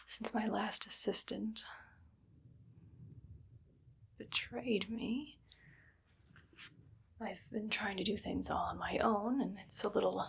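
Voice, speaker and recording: A woman playing a menacing, condescending evil doctor in a soft, whispered ASMR roleplay, speaking close to the mic with deliberate phrasing. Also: mid-range voice, steady tone, gravelly, a little hiss or hum. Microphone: close ASMR microphone.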